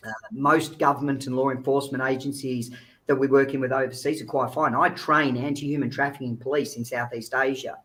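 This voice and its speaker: A man's voice: medium pitch, level tone, brisk, fairly bright tone, poor audio. Man explaining earnestly. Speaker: guest speaker, child recovery agency founder. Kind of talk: informal interview answers. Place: online video call.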